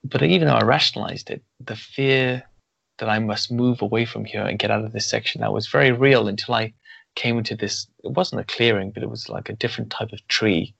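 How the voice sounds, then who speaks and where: A man's voice: lowish voice, steady tone, fairly quick, creaky, a bit bright, husky, no room sound. A man hosting a podcast interview, talking in a thoughtful, rambling way with many restarts. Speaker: podcast host. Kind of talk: conversational interview. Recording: dull lo-fi sound.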